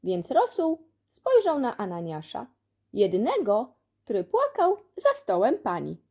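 A woman narrating a children's audiobook expressively, telling the story in an animated way. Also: a little high, a little slow. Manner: animated storytelling, acts out dialogue. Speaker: audiobook reader.

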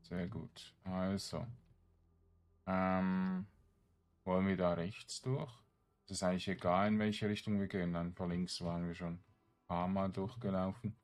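A man's voice: low voice, little pitch movement, measured pace, darkish tone. A man giving casual let's play commentary, muttering to himself in a hesitant way and trailing off. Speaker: gaming streamer narrating his own play.

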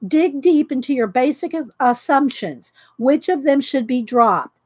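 A middle-aged woman hosting a casual craft chat from her home craft room, talking loudly and laughing often. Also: high-pitched, animated, a little slow, slightly rough, dry recording. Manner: loud, chatty, laughs often. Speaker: craft video host. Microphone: overhead camera pointing down at desk.

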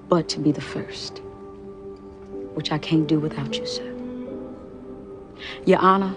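A woman's voice: low-pitched, close to an everyday voice. An actress in a film clip playing a determined young woman making a persuasive plea to a judge.

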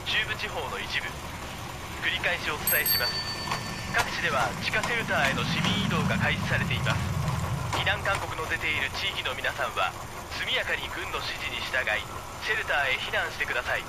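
Man acting high-pitched emergency news announcer, noisy background. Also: expressive, brisk, close to an everyday voice. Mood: formal, urgent. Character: urgent male emergency broadcast news announcer. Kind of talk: formal news bulletin reading in radio drama.

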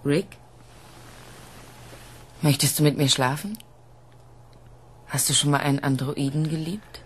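A woman acting a cool, proud, seductive android in an intimate radio drama, with deliberate delivery. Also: deep voice, slightly rough.

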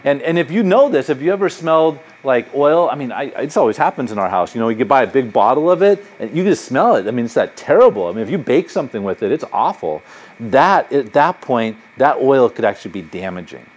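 A middle-aged man speaks at a medium pitch as he lectures to a room of listeners. His delivery is animated and conversational, full of asides and anecdotes, and his voice carries the sound of a university lecture hall. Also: fast. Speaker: psychology professor. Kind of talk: public lecture with audience questions.

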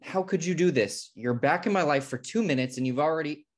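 A man's voice: fairly high pitch, fairly quick, close to an everyday voice. Man playing an uptight, fussy brother. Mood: anxious, annoyed. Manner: exasperated, fussy. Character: uptight, fussy, anxious twin brother in his thirties. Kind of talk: comedy script table read. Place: home room on a video call.

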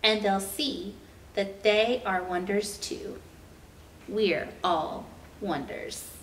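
A young adult woman reading a children's picture book aloud as a storytime host, with deliberate, expressive storytelling for young children. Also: medium pitch. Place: indoor room with painted mural. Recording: roomy, distant mic, faint noise.

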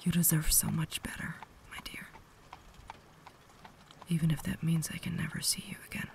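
A woman voicing a cultured, caring, tormented vampire lady in a breathy whisper, with formal, measured diction for an ASMR roleplay. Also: low-pitched, completely flat delivery, a little mellow. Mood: sorrowful, caring.

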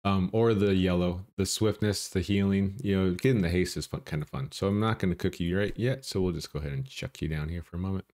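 A man casually commenting on his own game run, chatty and self-deprecating, thinking aloud as he plays, with a bassy morning voice. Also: a little fast, few pauses, darkish tone. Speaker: gaming channel host.

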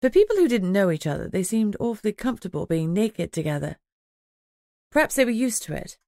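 A woman's voice: mid-range voice, sing-song, brisk, a little mellow, dry recording, studio quality. A woman reading first-person fiction as an audiobook narrator in a scripted storytelling style.

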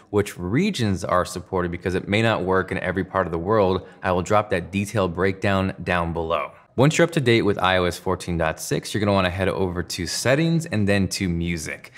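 A man in his thirties with a low voice and an American accent. He presents as a tech reviewer, walking through a scripted explanation to camera. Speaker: tech reviewer and video host.

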